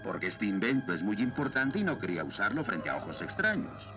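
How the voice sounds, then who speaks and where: Confident cartoon soldier-inventor, Latin American Spanish. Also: male voice, lowish voice, very animated, fairly quick. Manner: authoritative, explanatory. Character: middle-aged soldier-inventor, stern, confident, fatherly. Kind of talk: dubbed cartoon acting. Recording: music behind the dialogue.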